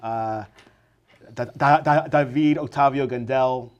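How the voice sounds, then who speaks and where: Halting male podcast host. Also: medium pitch. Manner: halting, full of fillers and restarts. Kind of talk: casual interview.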